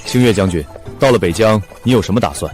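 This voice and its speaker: An actor playing a dignified royal prince and army commander in a Chinese costume drama. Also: male voice, lowish voice, fast, natural voice. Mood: calm, courteous. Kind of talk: costume short-drama acting. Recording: loud background music over dialogue.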